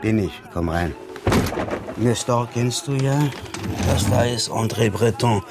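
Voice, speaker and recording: An actor playing a gruff, practical man in a radio crime drama, curt and colloquial. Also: lowish voice, slow, noisy background. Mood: weary, curt. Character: gruff, practical male undertaker and underground activist.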